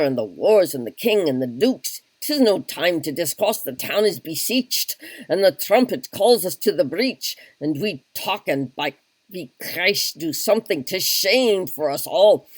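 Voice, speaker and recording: A man's voice: on the high side, no echo. A man voicing a hot-tempered, boastful Irish army captain who blusters and swears oaths in a solo reading of a stage play.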